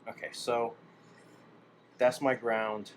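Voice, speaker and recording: A man's voice: medium pitch, level tone, takes it slowly. A man casually thinking aloud as he demonstrates hobby work at a bench, tentative and speaking in fragments. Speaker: hobbyist demonstrating a radio-to-amp conversion. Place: indoor workbench. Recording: clearly noisy room recording.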